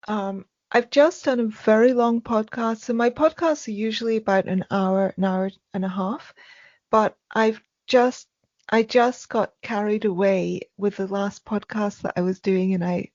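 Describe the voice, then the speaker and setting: Woman, soft-spoken, halting speech, frequent fillers, podcast guest. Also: medium pitch, no room sound, lo-fi sound. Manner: halting, many ums and restarts. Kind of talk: casual interview conversation.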